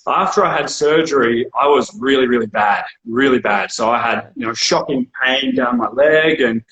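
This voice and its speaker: Australian-accented man, mid-pitched, easygoing chat, echoey clinic room on a video call. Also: moderate pace. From born in India, raised in Australia. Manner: relaxed, chatty, lots of fillers and restarts. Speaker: physiotherapist guest. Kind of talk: casual interview over video call.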